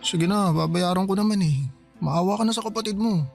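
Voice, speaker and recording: A broke younger brother with a fairly high voice, defensive and whiny as he begs for help. Soft music runs behind him in a radio drama. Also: fairly quick, gravelly. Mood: pleading. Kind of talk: Filipino radio drama acting.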